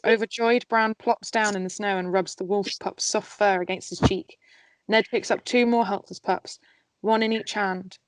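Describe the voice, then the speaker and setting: A woman reads scripted stage directions as the narrator of an amateur table read. Her pitch is middling and the sound is dry and close. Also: fairly even intonation, normal speed, no room sound, rough recording.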